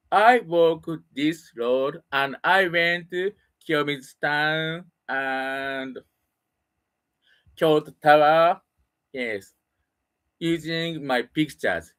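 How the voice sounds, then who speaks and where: Halting Japanese-accented man speaking English. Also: on the high side, fairly bright tone, smooth. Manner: halting non-native English with pauses and restarts. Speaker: solo broadcaster practicing English. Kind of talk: casual spoken monologue.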